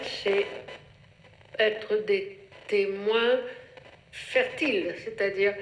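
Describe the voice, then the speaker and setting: A woman giving a solemn, reflective monologue in deliberate, halting phrases. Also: mid-range voice. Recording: echoey room with faint noise.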